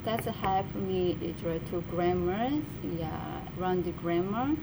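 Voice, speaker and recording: Korean woman, native Korean speaker, halting interviewee, low-pitched, noisy recording. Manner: halting, searching for words, many fillers. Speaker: college student being interviewed. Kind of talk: casual interview answers. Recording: noticeable background noise.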